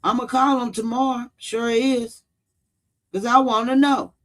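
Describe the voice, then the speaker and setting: High-pitched man, blunt livestreamer. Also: flat delivery, measured pace, fairly bright tone. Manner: blunt, profane, repeats points for emphasis. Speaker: true-crime commentary livestream host. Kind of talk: casual livestream commentary, replying to viewers.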